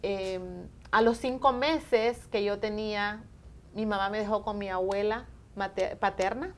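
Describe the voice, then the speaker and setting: Devout lady from honduras, church elder, telling her story on a tv set, mid pitch. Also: a little slow, a little hiss or hum. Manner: earnest, devout, answers with "amén". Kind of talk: testimony interview. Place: TV studio.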